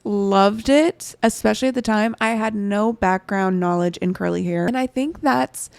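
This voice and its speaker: A woman's voice: medium pitch, normal speed, creaky, darkish tone, no room sound. A young woman co-hosting a casual podcast, sounding congested, with easygoing back-and-forth talk. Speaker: podcast co-host. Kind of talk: casual chat.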